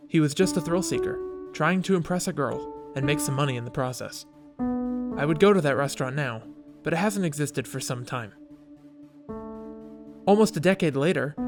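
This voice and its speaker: A man with a slightly high voice narrates a scripted video essay. His delivery is casual and wry, leaning into dramatic storytelling. Soft guitar music plays behind him.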